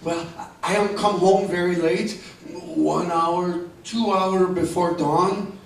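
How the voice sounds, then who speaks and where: Genial, excitable Mexican townsman, higher voice, broken English, radio western, very reverberant distant recording. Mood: excited, mysterious. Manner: broken English, chuckling, drawn-out exclamations. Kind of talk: old-time radio western acting.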